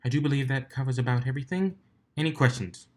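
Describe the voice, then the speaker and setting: A man's voice: medium pitch, fast talker, darkish tone, voice pushed up, close to an everyday voice. A man voicing a strict, formal female pony announcing competition rules in a stern, clipped, dryly menacing manner for a fan story reading.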